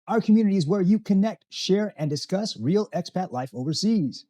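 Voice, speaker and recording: Man, fairly high-pitched voice, scripted advisory talk, addressing viewers directly. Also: lively intonation, brisk, dark tone. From tropical island. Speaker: expat channel host. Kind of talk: scripted talking-head explainer.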